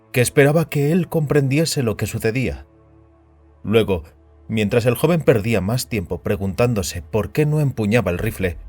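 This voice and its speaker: A man narrating an audio story in a scripted, dramatic style with a measured, solemn storyteller's delivery. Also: on the low side, darkish tone, dry recording. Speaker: narrator and host of an audio fiction show. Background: background music.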